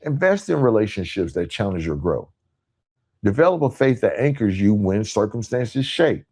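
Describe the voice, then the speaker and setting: A man hosting a podcast, delivering a motivational monologue in a measured, emphatic way with rhetorical repetition. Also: fairly low pitch, sing-song, dark tone, husky. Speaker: podcast host.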